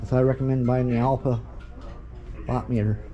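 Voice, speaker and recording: A man's voice: mid-range voice, level tone, moderate pace. American man talking gear over muffled store chatter. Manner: opinionated, advising. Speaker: vlogger showing radio gear in a store. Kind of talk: informal off-the-cuff product walkthrough. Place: inside a busy radio store. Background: other people talking.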